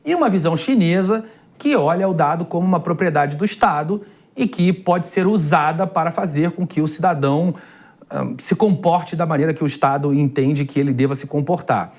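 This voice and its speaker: A man hosting a Brazilian TV interview program, putting measured, formal questions to his guest. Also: on the high side, darkish tone. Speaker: TV program host.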